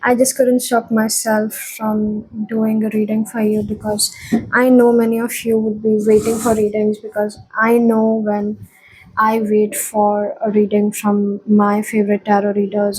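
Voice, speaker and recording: A woman doing a tarot reading to camera, feeling unwell and speaking lower than usual, soft and hesitant with frequent pauses. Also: a little hiss or hum, low-quality recording. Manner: soft, hesitant, with frequent ums and repeated phrases. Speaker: tarot reader.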